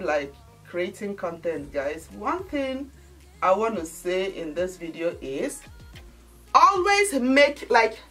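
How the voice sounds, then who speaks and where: A woman's voice: medium pitch, distant mic. Chatty woman with a Nigerian accent. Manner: chatty and animated, addresses viewers as guys. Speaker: beauty and lifestyle vlogger. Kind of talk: casual chat to camera. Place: bright indoor home studio.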